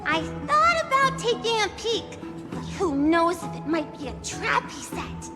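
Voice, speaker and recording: An eager little child of a warrior people, speaks very high with earnest, boastful pride. The game dialogue rings in a long reverb with music clearly behind it. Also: female voice, big pitch swings, measured pace, full character voice. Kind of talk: video game voice acting.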